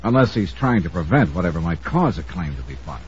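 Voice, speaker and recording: Casting: wry American insurance sleuth, old radio serial, animated clipped delivery, muffled vintage sound. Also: male voice, sits low, fast, a little hiss or hum. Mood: wry, probing. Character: brisk, wry freelance male insurance investigator. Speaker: star of a radio detective serial. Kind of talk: 1950s radio acting, first-person narration. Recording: dull old radio recording.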